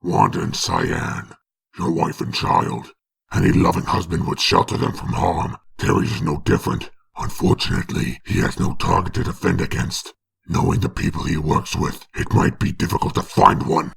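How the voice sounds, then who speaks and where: A man with a very gravelly, breathy rasp giving dramatic scripted narration of a comic book. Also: a bass voice, animated, moderate pace.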